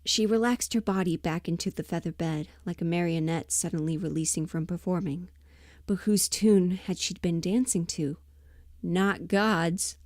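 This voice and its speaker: A woman chatting casually and emphatically on a livestream, rambling in an animated, opinionated way. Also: on the low side, normal speed, no echo, clean studio sound. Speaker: livestream host and voice performer.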